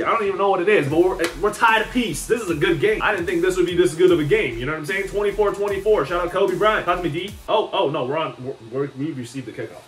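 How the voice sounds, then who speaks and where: A young man with a fairly high voice reacts loudly to his own video game football plays, hyped and joking, chanting lines over and over. He sounds a little far from the mic in a roomy space. Soft music plays underneath. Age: young adult. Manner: hyped, playful, repeats chants, trash talk. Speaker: gaming YouTuber. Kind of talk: excited gameplay commentary. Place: small room indoors. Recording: roomy, slightly distant, faint music.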